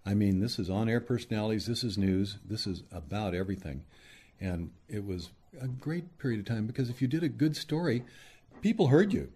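Mellow, husky older man reminiscing. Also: on the low side, animated, fairly quick. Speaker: veteran radio journalist being interviewed. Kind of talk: reminiscing interview talk.

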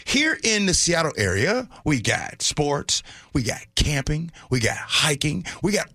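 Male radio host, very animated swooping delivery, bright husky voice, colloquial, opinionated banter. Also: fairly high pitch, a little slow, dry recording, clean studio sound. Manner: animated, colloquial, addresses co-hosts directly. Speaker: radio talk show host.

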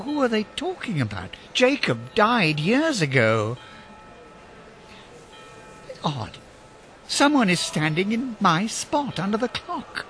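An actor playing a frightened, humbled old miser, hesitant and pleading, in a radio drama. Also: male voice, high voice, a bit bright, exaggerated voice. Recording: clearly noisy, ambient sound effects behind.

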